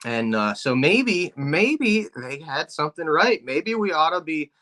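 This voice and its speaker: Pennsylvania man, co-host, deadpan joker, bright tone. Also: on the high side, unhurried. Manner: joking, deadpan tall tales. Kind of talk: casual chat.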